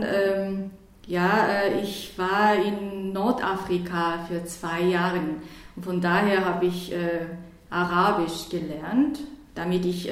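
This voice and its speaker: A woman's voice: fairly low pitch, heavy reverb. A woman from South Korea with a Korean accent, a church worker answering interview questions in a hesitant way, with many fillers and restarts.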